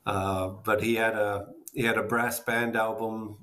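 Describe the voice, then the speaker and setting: Man, fifties, fairly low voice, halting, casual storytelling, home video call. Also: little pitch movement, fairly bright tone. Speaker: guest jazz bassist. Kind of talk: casual storytelling in a panel interview. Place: small room at home, indoors. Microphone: laptop or webcam video call.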